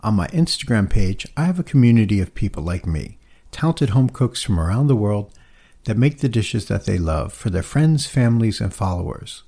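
A man hosting a food podcast, introducing his guest and asking earnest, slightly halting questions. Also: fairly low pitch, animated, dark tone, husky. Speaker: podcast host. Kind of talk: podcast interview.

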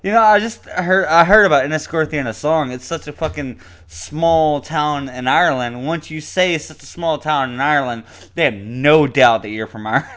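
A man's voice: on the high side, moderate pace, fairly bright tone, dull audio. A man co-hosting a podcast in casual banter, rambling, laughing often and swearing freely. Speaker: podcast co-host.

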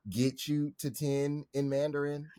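A man's voice: fairly high pitch, little pitch movement, unhurried, warm tone. A man co-hosting a podcast in casual chat, joking around and swearing freely. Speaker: podcast co-host.

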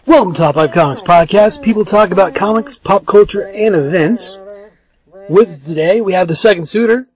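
A man with a raspy voice hosting a podcast in casual chat, halting and often correcting himself. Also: high-pitched. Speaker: podcast host.

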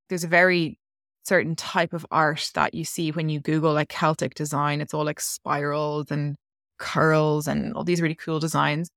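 Low-voiced chatty woman guest. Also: fairly bright tone, dry recording, studio quality. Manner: chatty, rambling, many "like" fillers. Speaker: podcast guest, coach. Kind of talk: casual interview storytelling.

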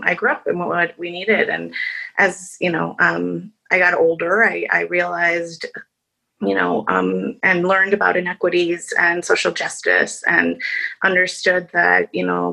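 Gravelly-voiced woman attorney from Chicago. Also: on the low side, bright, forceful tone, poor audio. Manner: halting, many fillers and restarts. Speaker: attorney on a panel. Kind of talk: panel discussion answer.

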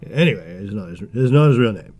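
A man voicing a pompous, self-important British royal ex-broadcaster, halting and mumbling as he rambles through a comedic podcast movie review in a British English accent. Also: fairly low pitch, expressive, a little mellow, highs cut off. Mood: pompous, rambling. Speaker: podcast host.

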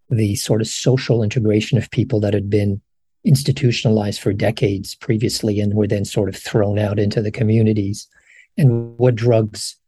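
A man's voice: lowish voice, dark tone, breathy edge, no room sound. A man in his sixties from Morocco, a professor answering interview questions thoughtfully, with frequent ums and restarts. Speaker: professor, podcast guest. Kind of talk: long-form interview answers.

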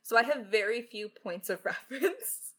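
A woman's voice: a little high, animated, moderate pace. A woman writer co-hosting an informal, unscripted Q&A, speaking in a self-deprecating, lightly joking way. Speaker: lead writer of an audio drama, co-host. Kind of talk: casual unscripted Q&A chat.

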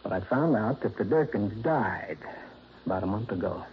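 An actor playing an earnest, troubled insurance claims man in a 1960s radio drama, hesitant and quick to protest. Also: fairly low pitch, animated, background noise, close to an everyday voice. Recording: dull lo-fi vintage radio broadcast.

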